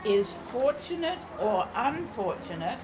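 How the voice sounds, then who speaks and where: A woman's voice: a little high, big pitch swings, a little slow, over a noisy crowd, low-quality recording. A woman reading aloud as a volunteer reader. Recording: loud music over the reading.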